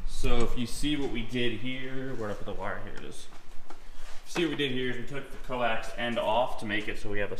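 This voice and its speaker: A man's voice: fairly low pitch, fairly bright tone. A young man talking informally as he hosts a hands-on how-to vlog, explaining each step. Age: young adult. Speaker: host of an off-road install channel. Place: home garage, working around a vehicle. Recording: noisy garage action-camera audio with clicks.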